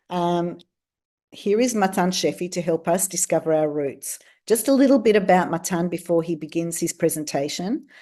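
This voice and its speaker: Webinar host, woman, smooth scripted intro, low mellow tone. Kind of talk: read introduction.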